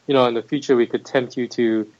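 A man's voice: medium pitch, steady tone, fast, a little mellow, no room sound, lo-fi sound. A middle-aged man from Singapore, a guest talking thoughtfully about his career, with frequent restarts and fillers. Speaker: MBA admissions head, guest. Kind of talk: interview conversation.

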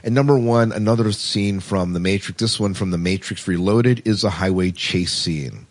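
A man's voice: low voice, moderate pace. A man co-hosting a podcast in a casual, informal, conversational way. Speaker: podcast co-host.

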